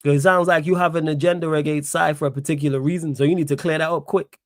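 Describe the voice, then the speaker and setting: A man's voice: fairly high pitch, steady tone, quick pace, dry recording, studio quality. A young man with a Manchester accent hosting a live stream, reading chat aloud and talking back indignantly, repeating himself for emphasis. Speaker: livestream host.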